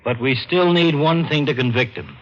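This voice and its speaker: An actor playing a businesslike police detective in an old-time radio drama, speaking briskly and matter-of-factly. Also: male voice, medium pitch, close to an everyday voice. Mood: brisk, thoughtful. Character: businesslike male police detective, the hero's ally. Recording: muffled old-time radio recording with music.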